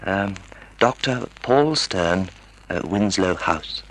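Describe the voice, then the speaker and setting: An actor playing a timid, polite, half-blind older husband who hesitates and stammers, in an old-time radio drama. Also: male voice, low-pitched, expressive, slow, fairly bright tone, slight background noise, exaggerated voice, rough recording. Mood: polite, hesitant. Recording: vintage radio drama recording.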